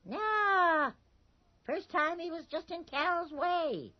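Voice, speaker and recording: A woman playing a hard, knowing small-town landlady, terse, unhurried and taunting, in a radio drama. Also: very high voice, animated, dry recording, heavily acted. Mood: coldly knowing. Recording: muffled early 1980s radio broadcast, music bed.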